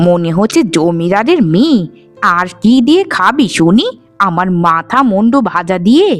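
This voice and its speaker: A woman's voice: medium pitch, big pitch swings, moderate pace, soft background music, natural voice. A woman voicing a weary, middle-aged village mother in a cartoon, nagging and reproachful. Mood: scolding, weary. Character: weary, scolding poor widowed village mother of twins. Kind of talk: animated folk-tale cartoon voice acting.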